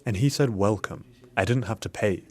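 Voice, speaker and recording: A man reads a translated personal account in a sincere, plain voice. His pitch sits somewhat low for a man. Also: normal speed. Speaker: voice-over translator for an interviewee. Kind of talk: read translation voice-over.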